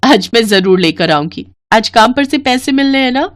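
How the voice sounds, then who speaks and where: A woman's voice: a little high, lively intonation, moderate pace, no room sound, studio quality, lightly acted. A woman voicing a poor, loving village mother in a moral story, earnest and plaintive. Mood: affectionate. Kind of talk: Hindi moral story voice acting.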